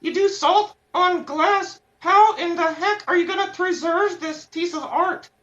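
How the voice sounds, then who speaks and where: A woman's voice: extremely high pitch, unhurried, a bit bright. A woman hosting a casual live-stream interview, enthusiastic and slangy. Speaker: show host. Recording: muffled lo-fi audio.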